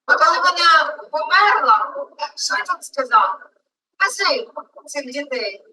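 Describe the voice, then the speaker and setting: A woman's voice: high voice, big pitch swings, a little slow, bright, forceful tone. A woman narrating a personal family story in a film. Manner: storytelling, intimate. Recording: film audio played from far off.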